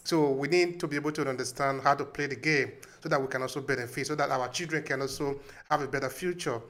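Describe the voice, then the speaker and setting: A man's voice: mid-range voice, fast, fairly bright tone. A man hosting a casual interview, affirming and drawing out his guest. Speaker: interview host.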